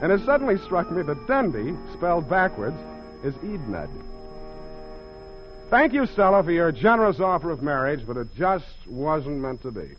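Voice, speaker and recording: An actor playing a tough, wisecracking American private detective in sardonic 1940s radio style. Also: male voice, on the high side, big pitch swings, normal speed, soft background music. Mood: wry, narrating. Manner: sardonic, clipped, flowery narration. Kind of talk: 1940s radio acting and narration. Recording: muffled old radio recording.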